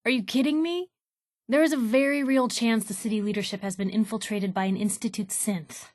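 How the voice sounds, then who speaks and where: An actress playing a brash, idealistic young woman reporter in a video game, with a conversational, sardonic and expressive delivery. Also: a little high, dry recording, studio quality, natural voice. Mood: urgent, exasperated. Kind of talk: video game companion dialogue, naturalistic acting.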